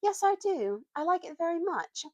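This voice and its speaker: Woman voicing an earnest, high-voiced young girl. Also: sing-song, normal speed. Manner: sincere, eager.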